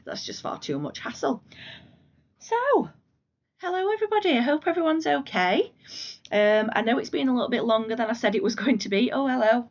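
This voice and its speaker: Hoarse woman from the UK, rambling self-deprecating crafting vlog, slightly dull lo-fi sound, home living room. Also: a little high, sing-song, brisk. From United Kingdom. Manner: rambling, self-deprecating, talks to her cat. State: hoarse from a cold. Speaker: flosstube vlogger. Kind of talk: casual chatty vlog.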